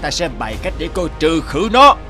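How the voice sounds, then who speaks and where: A man's voice: a little high, sing-song, a little fast, pushed and bright, soft background music. A man voicing a villainous, blustering royal chancellor in a cartoon fairy tale, sly in manner.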